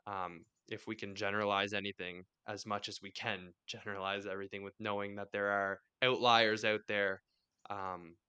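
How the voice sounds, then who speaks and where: A man hosting a podcast, talking in a relaxed, reflective and friendly way. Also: fairly low pitch, moderate pace, smooth, dull audio. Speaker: podcast host. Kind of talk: casual podcast conversation.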